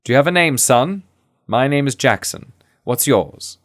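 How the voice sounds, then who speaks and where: Man acting a calm, coaxing veteran hero. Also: medium pitch, unhurried, voice pushed up, lightly acted. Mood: firm coaxing. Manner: firm, coaxing. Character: calm, coaxing male war veteran, the story's narrator-hero.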